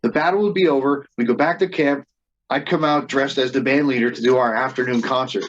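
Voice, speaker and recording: A man's voice: medium pitch, fairly quick, muffled recording. A man who leads a band, telling stories in a casual interview and often restarting and repeating words as he goes. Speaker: band leader and reenactor being interviewed.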